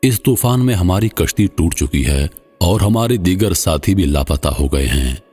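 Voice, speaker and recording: A man's voice: low-pitched, animated, normal speed, mellow, breathy edge, faint music behind. A man voicing a young shipwrecked hunter who politely and earnestly pleads for shelter in a narrated tale. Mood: pleading. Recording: long hall-like reverb.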